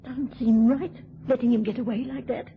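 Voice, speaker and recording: An actress playing an anxious, soft-hearted woman in a vintage radio murder mystery. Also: on the high side, fairly even intonation, moderate pace, close to an everyday voice. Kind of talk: vintage radio drama acting. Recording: dull noisy vintage radio recording.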